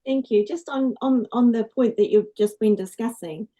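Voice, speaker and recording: A woman's voice: a little high, normal speed, warm tone. A woman on a parliamentary committee, politely asking a submitter questions and hesitating over her words. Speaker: committee member.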